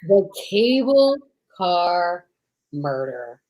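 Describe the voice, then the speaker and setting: A woman's voice: fairly low pitch, sing-song, very slow, a little mellow, poor audio. A woman co-hosting an old-time radio podcast, chatting casually and introducing the show in a gushing, theatrical way.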